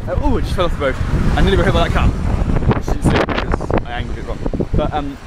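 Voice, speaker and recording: A young man with a light Gloucestershire accent and a fairly high voice chats and giggles, easily thrown off his train of thought. He holds a phone at arm's length on a dark street at night. Loud wind buffets the mic. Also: animated, fast. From England. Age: young adult. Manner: chatty, giggly, easily distracted. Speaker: vlogger. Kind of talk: rambling casual vlog. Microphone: phone held at arm's length.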